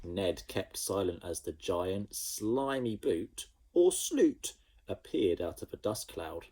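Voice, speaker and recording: Animated low-voiced male storyteller. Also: takes it slowly. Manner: animated, with sound effects. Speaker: primary school teacher. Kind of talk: storybook read-aloud for children.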